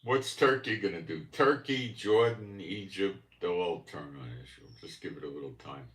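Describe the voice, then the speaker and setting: Live stream host, man raised in America, very low voice, speaking from a distance in an echoey room. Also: lively intonation, normal speed, a bit bright. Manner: musing, speaks in short broken phrases. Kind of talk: casual live stream commentary. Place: home room in Israel. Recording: echoey room, far from mic.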